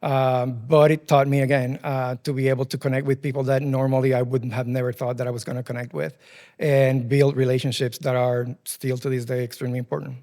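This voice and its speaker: A man's voice: medium pitch, little pitch movement, brisk, mellow. A man in his forties with a Puerto Rican Spanish accent, Spanish his first language, answering interview questions thoughtfully with many ums. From Puerto Rico. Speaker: guest, furniture dealership president.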